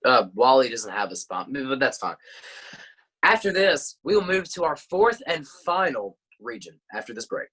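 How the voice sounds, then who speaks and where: A man's voice: mid-range voice, very animated, moderate pace, fairly bright tone, breathy edge. A man hosting a mock sports selection show in a presenter's style, handing over to correspondents. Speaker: host.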